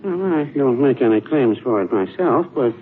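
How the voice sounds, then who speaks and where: An actor playing a mild, dithering, nosy druggist in a 1940s radio comedy. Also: male voice, medium pitch, lively intonation, normal speed, close to an everyday voice. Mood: dry, hesitant. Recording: narrow-band 1940s radio recording, faint noise.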